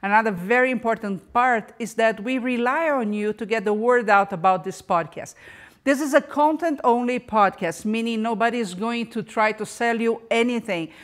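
A woman's voice: fairly high pitch, normal speed. A woman hosting a live podcast interview, enthusiastic and chatty, talking over a video call. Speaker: podcast host.

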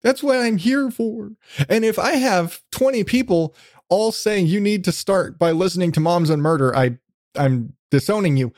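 A man's voice: high-pitched, moderate pace, a little mellow, no echo, clean studio sound. A man hosting a casual podcast interview, talking in a rambling, self-deprecating way with casual swearing. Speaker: podcast host.

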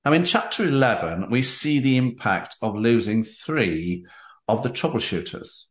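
Male book-show host, animated and emphatic, swinging pitch, roomy echoing sound. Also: measured pace. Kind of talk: interview, discussing a book with its author.

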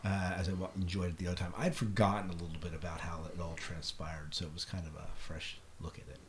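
American man, middle-aged, lowish voice, casual podcast host chat, faint background noise. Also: little pitch movement, quick pace. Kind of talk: casual chat about shows and films. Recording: clearly noisy podcast recording.

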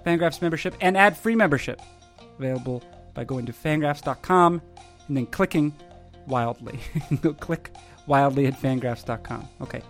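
A man's voice: medium pitch, normal speed, fairly bright tone, soft background music. A man hosting a podcast with dry, wordy, mock-formal delivery and wry banter. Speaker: podcast host.

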